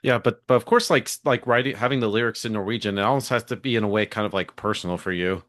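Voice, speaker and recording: A man's voice: lowish voice, quick pace, fairly bright tone, dry recording. A man with an American accent hosting a casual interview in an informal, hesitant way. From southern United States. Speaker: interview host.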